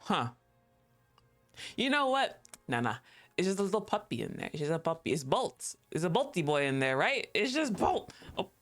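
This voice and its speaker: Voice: mid-range voice, very animated, moderate pace, a bit bright, soft background music. A game streamer giving casual, playful livestream commentary, reacting aloud to the game and chatting with viewers.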